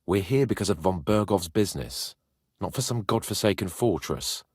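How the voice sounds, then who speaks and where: A man's voice: sits low, normal speed, slightly rough, dry recording, clean studio sound. An actor playing a haughty, spirited young nobleman in a medieval video game, lordly and bossy in manner but loyal. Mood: impatient, scolding. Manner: lordly, bossy, expressive, swearing freely.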